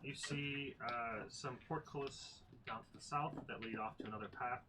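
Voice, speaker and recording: A man's voice: mid-range voice, fairly even intonation, moderate pace, background noise. A man running a tabletop game as game master, describing scenes with many fillers and restarts.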